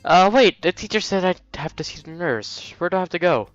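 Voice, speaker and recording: A man's voice: sits high, expressive, brisk, dry recording, lo-fi sound, faint music behind, pitched above the performer's own voice. A man playing a polite, hesitant teenage boy who is a new student in a visual novel.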